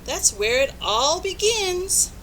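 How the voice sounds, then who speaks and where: Storybook bird teacher, female, played by a woman reader: tiny, chirpy, insistent, very high and swooping. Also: sing-song, takes it slowly, a bit bright, slight background noise, heavily acted, poor audio. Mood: awestruck. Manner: exclaiming, chirpy, declamatory. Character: eager little yellow bird teacher, female, cheerfully insistent. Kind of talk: children's storybook read-aloud.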